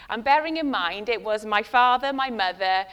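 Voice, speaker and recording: A woman's voice: a little high, expressive, moderate pace, a bit bright, smooth, highs cut off. A middle-aged woman from West Wales with a Welsh accent, a first-language Welsh speaker, giving a chatty, self-deprecating sermon with many fillers and restarts. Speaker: guest speaker.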